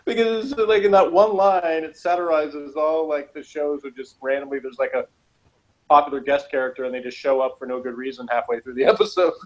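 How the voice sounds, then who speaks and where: A man co-hosting a casual podcast chat about a TV episode, animated and jokey, often laughing and acting out quotes. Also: a little high, brisk, rough recording. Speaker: podcast co-host.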